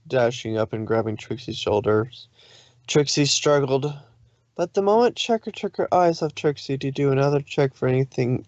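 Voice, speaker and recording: A man's voice: mid-range voice, a little mellow, no room sound, lo-fi sound. Male story narrator, dry recording. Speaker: fan fiction reader, narrator. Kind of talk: dramatic scripted story narration.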